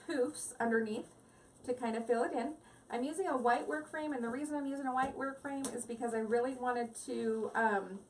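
A woman's voice: fairly high pitch, recorded from a distance, slight background noise, rough recording. Giggly woman chatting through a live craft demo. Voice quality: giggly, rambling, talks to viewers. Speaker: craft live-stream host. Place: home craft table, indoors. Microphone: device mic set back from the table.

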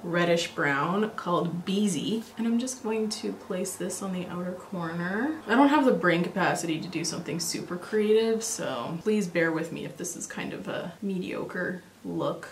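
A young woman with a slightly raspy voice, chatting casually and rambling with many fillers while recording a makeup tutorial at home. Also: on the low side, normal speed, hardly any pauses, echoey room, a little hiss or hum. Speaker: beauty vlogger. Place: small home filming room, indoors.

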